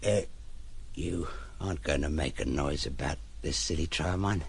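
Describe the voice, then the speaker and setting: An actor in an audio drama playing a cunning, menacing ruffian henchman with rough, slangy, muttering speech that drops into whispers. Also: a bass voice, normal speed, exaggerated voice. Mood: wheedling. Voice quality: gravelly. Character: cunning, menacing cockney-style ruffian henchman, excitable and wheedling.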